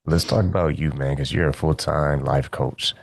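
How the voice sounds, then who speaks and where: A man's voice: very deep voice, steady tone, normal speed, gravelly, dry recording. A man hosting a radio interview show in a laid-back, friendly way, often calling his guest "man". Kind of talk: casual interview chat.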